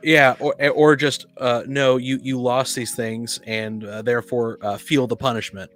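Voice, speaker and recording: A man's voice: medium pitch, little pitch movement, unhurried, fairly bright tone. A man in a casual panel discussion about tabletop gaming, speaking in an opinionated, blunt way. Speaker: podcast panelist.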